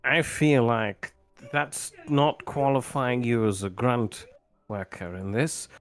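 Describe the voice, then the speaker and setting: A man's voice: steady tone, slow pace, faint music behind. A man chatting casually with friends while playing a building game on a livestream, playful and teasing. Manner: playful, teasing, jumps up in pitch when surprised. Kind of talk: casual gaming chat.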